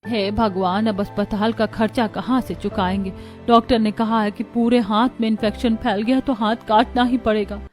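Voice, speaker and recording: A woman's voice: on the high side, steady tone, normal speed, soft background music, natural voice. A woman playing a poor, earnest mother who works as a housemaid, speaking respectfully and pleadingly in a moral story. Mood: worried, sorrowful. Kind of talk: dramatized Hindi moral story acting.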